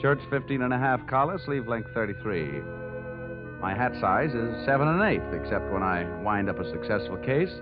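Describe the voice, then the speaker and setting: Guy playing breezy wisecracking american radio detective, real animated delivery, old phone-like recording. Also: mid-range voice, moderate pace, lightly acted. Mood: wry, self-assured. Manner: wry, breezy, sardonic. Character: cocky wisecracking male insurance investigator, rugged and unflappable. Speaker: radio drama actor. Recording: tinny vintage radio recording, faint music.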